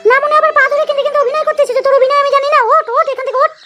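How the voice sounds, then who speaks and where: A woman playing a bossy, scolding village wife in an amateur comedy cartoon, nagging and commanding through a squeaky pitched-up effect. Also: level tone, racing, over music. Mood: angry.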